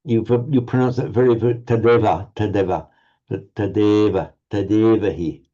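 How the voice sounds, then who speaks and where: A man teaching Sanskrit pronunciation in an online group lesson, halting and restarting words, encouraging his students. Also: on the low side, steady tone, mellow, muffled recording.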